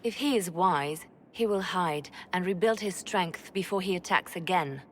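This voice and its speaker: An actress playing a composed, shrewd advisor in a fantasy game, speaking in a formal, probing way. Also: female voice, fairly low pitch, moderate pace, slight background noise, natural voice. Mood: skeptical. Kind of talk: dramatic fantasy game acting.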